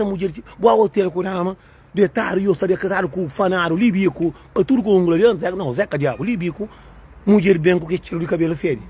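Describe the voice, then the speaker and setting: A woman with a husky, breathy voice rants to her viewers in a rambling way. She keeps circling back to the same words, talking straight at the camera like a video creator. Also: medium pitch, darkish tone. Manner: rambling and repetitive. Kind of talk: ranting monologue to viewers.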